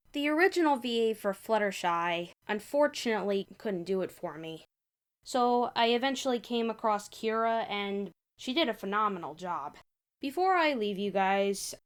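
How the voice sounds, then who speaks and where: A woman speaking as narrator and host of a fan fiction reading. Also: mid-range voice. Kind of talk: story narration and casual outro chat.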